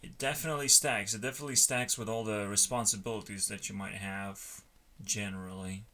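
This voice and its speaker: A man rambling casually in a halting, musing way, often trailing off, as if talking through his work on a stream. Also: lowish voice, fairly even intonation, pushed and bright. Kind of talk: rambling casual chat while coding. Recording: noticeable background noise, keyboard clicks.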